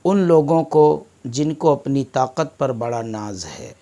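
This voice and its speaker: High-pitched, slow, emphatic Indian preacher. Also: male voice. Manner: emphatic, oratorical, with deliberate pauses. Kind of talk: sermon.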